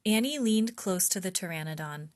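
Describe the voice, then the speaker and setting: A woman reading a children's chapter book aloud in an expressive storytime style. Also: lowish voice. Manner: slow, clear, animated storybook delivery.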